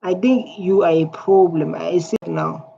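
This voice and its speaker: A man's voice: high voice, moderate pace, vocal fry, slightly rough. A South African man with a Tswana accent, a native Setswana speaker, commenting casually and bluntly on clips, repeating himself. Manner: animated, blunt, repetitive. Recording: dull lo-fi sound with faint noise.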